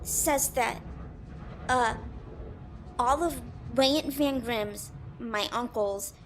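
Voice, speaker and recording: A woman playing a meek, downtrodden young farm girl in an audio drama, halting and hesitant. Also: a little high. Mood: shy, hesitant.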